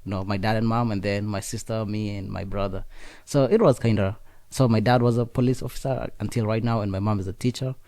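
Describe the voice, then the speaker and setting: A young man from Kenya, raised speaking Nandi, tells his story in an unhurried interview with plenty of fillers and restarts. His voice is mellow and sits a bit low. The sound is dry and close. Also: fairly even intonation, dry recording. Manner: halting, frequent fillers and restarts. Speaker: interview guest. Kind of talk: casual conversational interview.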